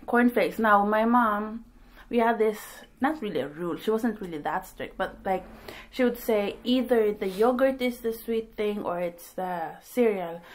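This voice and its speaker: A woman's voice: on the high side, darkish tone. A woman in her twenties hosting a casual eating chat, chatty and laughing often. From Sweden. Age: 20s. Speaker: mukbang host.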